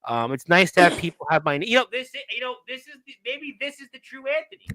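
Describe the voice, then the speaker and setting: A man's voice: very high-pitched, sing-song, fast, crowd noise. A man hosting a live wrestling talk show, chatting casually as he reads out and reacts to viewer comments. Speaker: host of a wrestling radio show.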